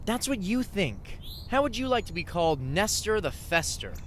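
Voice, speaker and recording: A teenage boy, played by a male actor in a radio play, defiant and eager. His high voice swings about a lot, and there is faint background noise. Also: big pitch swings, moderate pace, fairly bright tone. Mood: sulky, defiant.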